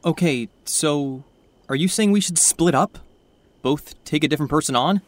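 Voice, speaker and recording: A man's voice: a little high, normal speed, dry recording, natural voice. An actor playing a concerned young man, a friend and fellow sleuth, in an audio drama. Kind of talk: scripted audio drama dialogue.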